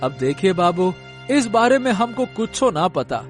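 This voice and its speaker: Man acting a high-pitched, deferential village underling. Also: big pitch swings, unhurried, soft background music. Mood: nervous, pleading. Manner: deferential, hesitant, rustic. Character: timid, deferential village men: henchman and anxious farmer father. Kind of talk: Hindi cartoon story acting.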